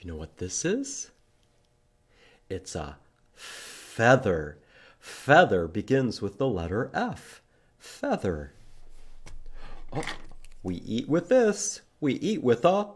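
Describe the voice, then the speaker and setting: A man hosting a children's storytime, reading a picture book and teaching letters in simple, expressive language with questions for young listeners. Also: medium pitch, drawn-out words, pauses often, slightly rough. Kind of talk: children's storytime read-aloud and letter lesson.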